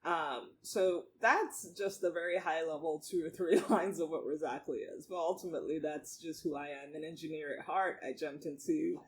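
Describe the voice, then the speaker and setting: A woman around forty, a startup founder talking as a guest in a casual interview, animated, laughing often and saying um a lot. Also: low-pitched, normal speed, few pauses, a little hiss or hum. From Nigeria.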